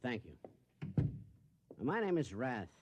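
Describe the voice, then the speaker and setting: A man's voice: medium pitch, big pitch swings, slow pace, background noise. An actor playing an earnest, flustered company salesman in a 1950s radio drama, formal and urgent. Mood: awkward, apologetic.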